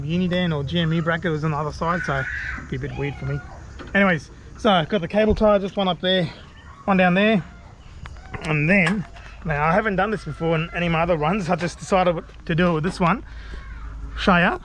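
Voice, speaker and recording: Man, Australian accent, fairly high voice, chatty DIY host, informal, faint noise behind him. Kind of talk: casual how-to vlog. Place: outdoors beside a vehicle. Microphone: action camera. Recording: clear background noise, outdoor camera audio.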